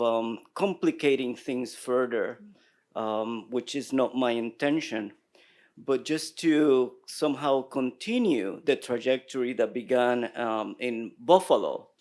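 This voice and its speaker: Booming-voiced man asking a hesitant question. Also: darkish tone. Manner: halting, hesitant, lots of fillers. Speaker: audience questioner.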